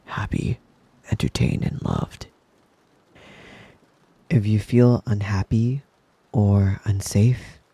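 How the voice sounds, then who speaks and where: A man playing a doting, possessive wolf-boy lover in an intimate ASMR roleplay, tender and coaxing, often whispering close to the microphone in a husky voice. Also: on the low side, warm tone, no echo, full character voice. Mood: tender, earnest. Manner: tender, slow, lingering, coaxing.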